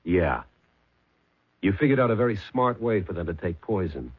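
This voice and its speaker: An actor playing a shrewd, terse police sergeant in a 1970s radio mystery, matter-of-fact and methodical. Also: male voice, sits low, sing-song, normal speed, breathy edge, full character voice. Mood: cool triumph. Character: shrewd, hard-boiled male police sergeant. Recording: muffled lo-fi 1970s radio recording.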